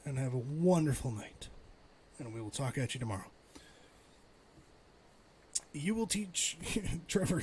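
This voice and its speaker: A man's voice: mid-range voice, slight background noise. A middle-aged man livestreaming a game, thinking aloud and chatting casually with viewers. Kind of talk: casual live game stream chat.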